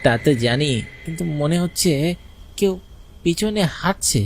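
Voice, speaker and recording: Man acting a timid, higher-voiced young village fisherman. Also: lively intonation, normal speed, a little hiss or hum, natural voice. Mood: fearful. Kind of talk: cartoon horror dialogue.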